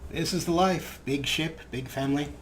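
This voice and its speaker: A man's voice: on the high side, normal speed, slight background noise. A man hosting a let's play, talking casually with wry, chatty asides about the game. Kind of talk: casual gameplay commentary. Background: game music.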